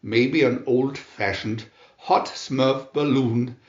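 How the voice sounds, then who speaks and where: A man's voice: mid-range voice, slow, echoey room, highs cut off, lightly acted. A man voicing a small, determined cartoon dwarf creature from a children's storybook, with hopeful declarations. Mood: eager, determined.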